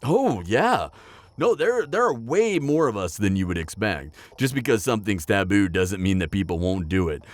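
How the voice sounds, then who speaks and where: A male actor plays an upbeat, practical necromancer chatting to the listener in an audio roleplay. His lowish voice swings freely up and down, with casual, hesitant asides. The sound is close and dry. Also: big pitch swings, normal speed, no room sound. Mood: chatty, amused. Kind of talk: conversational roleplay addressed to the listener.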